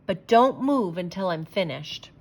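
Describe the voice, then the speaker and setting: A woman's voice: on the low side, animated, a little slow, a little mellow. A woman voicing an exasperated schoolboy big brother in a storybook, pleading and emphatic. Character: exasperated older brother, a schoolboy burdened with his sister.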